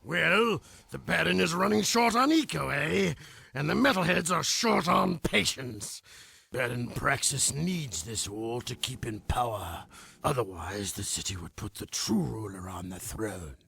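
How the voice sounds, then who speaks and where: An actor playing a sly, greedy underworld crime boss in a video game, with a thick gravelly rasp and a drawling, grumbling delivery. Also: male voice, medium pitch, expressive, bright, forceful tone, dry recording, heavily acted. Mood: irritated, urgent. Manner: slow drawl, punctuated with questioning "eh?" tags. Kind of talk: video game cutscene acting.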